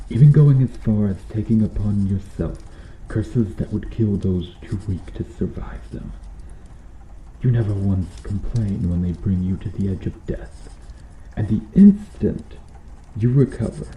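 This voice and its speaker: A man voicing a cruel, bitter medieval knight in a slow-burn ASMR roleplay, speaking with brooding, deliberate menace. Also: lowish voice, roomy sound, slight background noise, natural voice, poor audio. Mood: bitter, frustrated. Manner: brooding, menacing, deliberate delivery with dropping hushed phrases.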